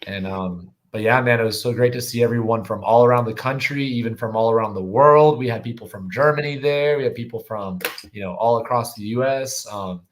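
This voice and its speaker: A man with a slightly hoarse voice from yelling, chatting casually and enthusiastically in slangy speech as a guest on a livestream. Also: medium pitch, brisk, hardly any pauses, slight background noise. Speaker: guest on a live show.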